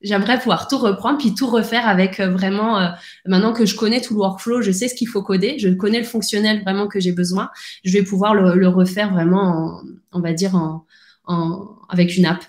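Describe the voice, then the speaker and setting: A woman in a relaxed interview, talking in quick bursts that she keeps restarting. Her voice sits in an ordinary middle range. The sound carries the echo of a bare room. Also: medium pitch. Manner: fast and animated, many restarts and fillers. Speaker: podcast guest, founder. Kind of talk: casual conversational interview.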